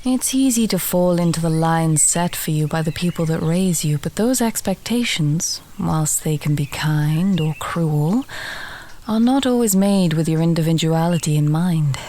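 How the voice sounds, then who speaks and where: A woman playing a friendly, teasing village alchemist in a fantasy audio roleplay, talking gently and conversationally to the listener. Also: low voice, expressive, moderate pace, a little hiss or hum. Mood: sincere, encouraging. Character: friendly female village alchemist, warm, teasing, earthy, encouraging.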